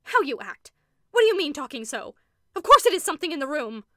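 A woman's voice: high-pitched, lively intonation, normal speed, bright, forceful tone, no echo, voice pushed up. A woman voicing a stern, tightly self-controlled woman who speaks curtly and dryly. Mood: tense curt. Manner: curt, dry, rigid.

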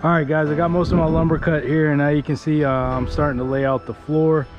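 A man in his thirties walks viewers through a backyard DIY project in an easy, rambling way. His voice sits a little high, and clear music runs underneath. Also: fast, muffled recording. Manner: relaxed, many "uh" fillers, addresses viewers as guys. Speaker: DIY channel host. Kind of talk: casual DIY vlog talk. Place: outdoors in a fenced backyard.

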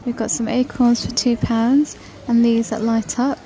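Woman, casual shop vlog voice, slightly high, flat delivery, mellow tone; faint store hum behind. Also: a little slow, poor audio. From United Kingdom. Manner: chatty, listing prices while browsing. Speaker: shopping vlogger. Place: large store aisle, indoors. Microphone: handheld phone camera.

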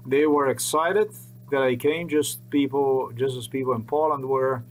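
A man's voice: mid-range voice, fairly even intonation, normal speed, low-quality recording. A middle-aged man, rambling earnestly and repeating himself as he talks to his webcam in a small room. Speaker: vlogger. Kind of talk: unscripted monologue to camera. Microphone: webcam at desk.

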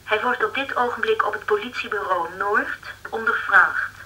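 A businesslike switchboard secretary, bright voice, formal announcements, old radio drama. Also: mid-range voice, moderate pace, slight background noise, low-quality recording.

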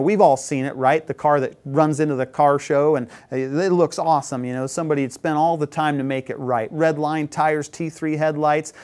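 A man's voice: a little high, a little mellow. A man in his forties, a shop tech, giving a casual product demo in a parts shop, upbeat with lots of "you know" fillers. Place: shop set with shelves of parts.

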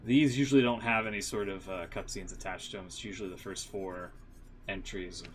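A man hosting a live stream, chatting casually in rambling, halting asides. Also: sits low, steady tone, a little hiss or hum.

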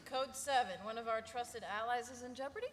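A woman's voice: fairly high pitch, fairly even intonation, brisk, close to an everyday voice. A young woman playing a snarky, blunt female sidekick in a live table read, with a sarcastic, casual manner. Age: young adult. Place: convention panel stage, table microphone. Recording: echoey convention hall, audience noise.

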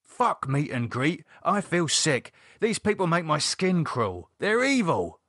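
A man's voice: a little high, sing-song, slow pace, a bit bright, husky, no echo, studio quality, voice pushed up, heavily acted. A man voicing a plain-spoken, uneasy British man in an audiobook, blunt but hesitantly polite. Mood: fed up. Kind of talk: audiobook character voice.

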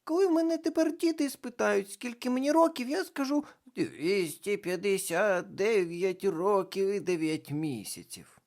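The reader voicing a twelve-year-old girl dressed as a witch, loud and excitable. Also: mid-range voice, normal speed, few pauses, pitched above the performer's own voice, close to an everyday voice. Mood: teasing.

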